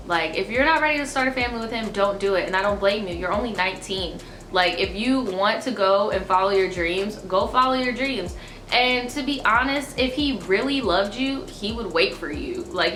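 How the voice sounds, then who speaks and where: A woman's voice: medium pitch, pushed and bright, reverberant. A woman in her twenties with allergy-stuffy sinuses, chatting casually to camera and reading a viewer's letter, full of fillers and slang. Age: 20s. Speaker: YouTuber reading viewer stories. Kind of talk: casual advice chat and reading letters aloud. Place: small indoor room, seated before a dark backdrop.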